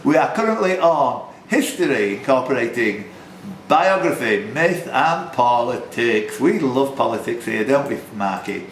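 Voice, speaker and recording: A man's voice: mid-range voice, big pitch swings, measured pace. Guy doing pub quiz host stuff, joking n teasing, echoey room w noise behind him. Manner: joking, chatty, teasing contestants. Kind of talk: live quiz question reading with banter. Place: indoors in a pub. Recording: roomy, noisy pub recording.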